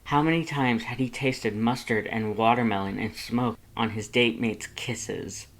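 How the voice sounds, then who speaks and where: Fan fiction narrator, mid-range voice, dramatic and expressive read. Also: medium pitch, measured pace. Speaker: audiobook reader of fan fiction.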